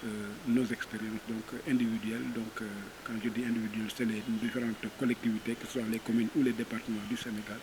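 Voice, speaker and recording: A man giving an unscripted recap of an event, halting and hesitant, with many fillers. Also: on the low side, level tone. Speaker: workshop participant. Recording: clearly audible background hiss.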